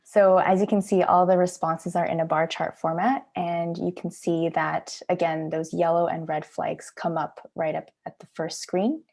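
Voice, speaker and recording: Creaky, mellow woman giving a walkthrough. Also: lowish voice, little pitch movement, moderate pace, hardly any pauses. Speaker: project manager demonstrating software. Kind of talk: informal walkthrough presentation.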